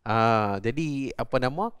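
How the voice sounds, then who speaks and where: A man hosting a relaxed conversation, explaining words at length and affirming his guest often. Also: mid-range voice, unhurried, clear, resonant tone, no echo, clean studio sound. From Malaysia. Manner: explanatory, chatty, frequent affirming interjections. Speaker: host. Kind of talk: conversational interview.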